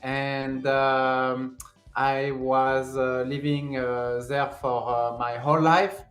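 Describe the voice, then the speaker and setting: A man's voice: medium pitch, flat delivery, slow, a bit bright. A young man with a strong French accent talks in a friendly, rambling way, leaning on "like" and "you know". His voice rings in a roomy, echoey space with faint music under it. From France. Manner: friendly, talkative, leans on fillers like "like" and "you know". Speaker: guest. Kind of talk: casual podcast interview.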